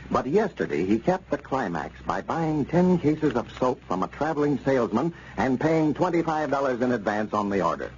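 Male American radio announcer, animated intonation, polished scripted pitch, 1930s style, muffled noisy recording. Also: normal speed, hardly any pauses.

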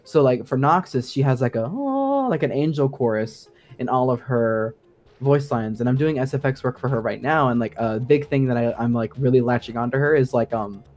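A man's voice: mid-range voice, lively intonation, normal speed, darkish tone, faint music behind. A man speaking as a guest sound designer in a casual podcast discussion, explaining things informally and with enthusiasm.

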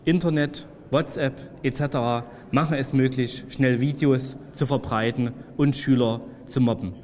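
A man in his forties talks at a middle pitch, concerned and firm in a prepared statement. Long reverb from a large room surrounds him. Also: fairly even intonation, moderate pace, a little mellow, a little hiss or hum. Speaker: state parliament member. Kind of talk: scripted political statement to camera. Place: indoors before a large window.

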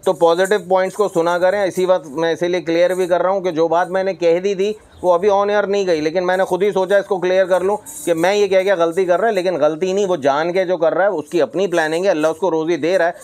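Clear-voiced man presenting on camera. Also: high-pitched, fairly even intonation, fast talker, hardly any pauses, a little mellow. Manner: chatty, peppered with pious phrases and English words. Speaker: host of livestock market videos. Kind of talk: informal on-camera sales presentation. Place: roofed block-walled goat pen.

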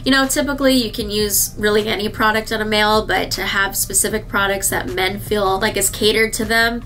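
Bright-voiced woman with a stuffy nose. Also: medium pitch, fairly even intonation, brisk, a little hiss or hum. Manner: chatty, upbeat, addresses viewers directly. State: slightly nasal from a cold. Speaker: licensed esthetician reviewing skincare. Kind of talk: casual product review to camera. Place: indoor skincare treatment room.

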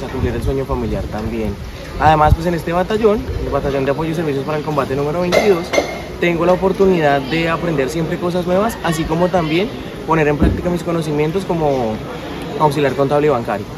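A young soldier talks hesitantly outdoors, filling pauses often. His voice is a bit high. Clear outdoor noise runs behind him. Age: young adult. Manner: hesitant, many fillers. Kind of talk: interview testimony. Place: outdoors at a base.

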